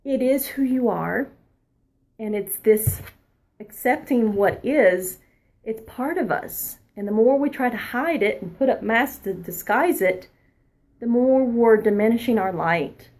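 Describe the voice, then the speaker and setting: A woman past her twenties giving a spiritual oracle card reading, earnest and encouraging, sometimes dropping into a soft whisper. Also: a little high, a little slow. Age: adult past her twenties.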